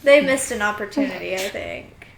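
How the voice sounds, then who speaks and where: A woman's voice: mid-range voice, lively intonation, normal speed, creaky. A woman, asking questions in a casual podcast chat. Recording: roomy echo, background noise.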